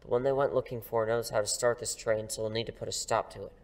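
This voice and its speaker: A man's voice: lowish voice, steady tone, fast, a little mellow, lightly acted. A man playing a confident superhero mentor in an audio drama, with a dry, self-assured, instructive manner. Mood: hushed, focused.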